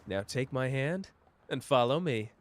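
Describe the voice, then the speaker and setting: A man doing a slow, flirtatious charmer. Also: medium pitch, animated, close to an everyday voice.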